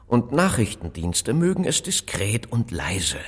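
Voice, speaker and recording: An actor playing an evasive, cautious phone inventor in an audio drama, speaking politely and deliberately, with rhetorical questions. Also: male voice, medium pitch, huge pitch swings, slightly rough, exaggerated voice. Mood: knowing, insistent. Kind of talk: German audio drama dialogue acting.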